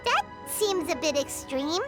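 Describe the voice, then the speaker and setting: A pint-sized floating fairy sidekick from a fantasy game, nosy and chatty and forever asking questions. Her voice sits sky-high and leaps around with lively turns, and she speaks slowly and deliberately. Bright game music plays loudly behind her. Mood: curious. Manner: refers to herself in the third person; high, bouncy and animated. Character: tiny floating fairy-like female companion, chatty and curious. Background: loud game music.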